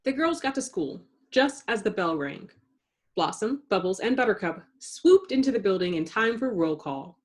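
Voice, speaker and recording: A woman reading a children's story aloud in an expressive narrating style, with dramatic emphasis for suspense. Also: medium pitch, moderate pace. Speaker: storybook reader.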